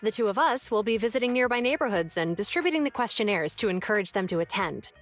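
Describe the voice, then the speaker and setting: Game role: actress as a brisk, dutiful young policewoman, lively pitch. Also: faint music behind, natural voice. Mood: earnest. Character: earnest, dutiful young female police officer. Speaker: public security officer, Zhu Yuan.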